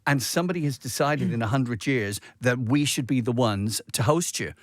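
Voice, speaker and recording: Casting: male radio host, medium pitch, chatty and enthusiastic interviewing, very dry recording. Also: moderate pace, no room sound. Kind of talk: casual conversational interviewing.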